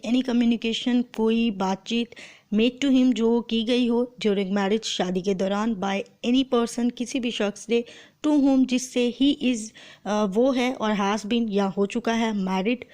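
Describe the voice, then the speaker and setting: A woman's voice: fairly high pitch, darkish tone. A woman with a Pakistani accent and a sore throat, teaching law in an explanatory lecture style, switching between two languages. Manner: explanatory, translating phrase by phrase. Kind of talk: lecture explaining law, mixing Urdu and English.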